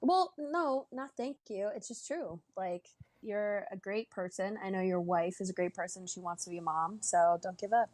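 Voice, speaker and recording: A woman's voice: mid-range voice, moderate pace, darkish tone, no echo. A woman in her late twenties co-hosting a casual podcast, talking earnestly and encouragingly to a friend. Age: 20s. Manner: earnest and encouraging, frequent laughs. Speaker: podcast co-host. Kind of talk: casual live-stream chat.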